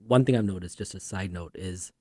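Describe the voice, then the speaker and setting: Guy in his 30s chatting on a podcast about growing up, low mellow voice, says right a lot. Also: brisk, slightly rough, no room sound, clean studio sound. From India. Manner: relaxed storytelling with frequent "right?". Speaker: podcast guest. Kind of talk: conversational interview.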